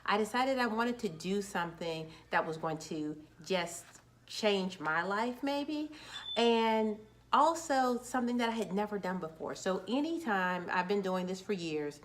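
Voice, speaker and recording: A woman's voice: medium pitch, animated, a little slow. A woman in her forties, a children's book author, talking casually and upbeat to camera, a little nervous and restarting words. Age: 40s.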